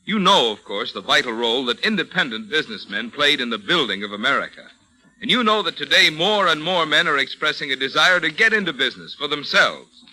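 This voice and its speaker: A man announcing in polished, emphatic 1940s radio style, delivering sponsor pitches and program credits in measured phrases. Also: pushed and bright, poor audio. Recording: old 1940s radio recording.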